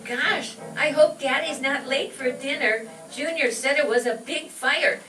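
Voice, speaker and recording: Woman playing a high-voiced, girlish, earnest daughter. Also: measured pace, music in the background. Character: dutiful, earnest young daughter of the family. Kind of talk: old-time radio comedy acting, performed live. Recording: roomy, distant stage pickup with faint noise.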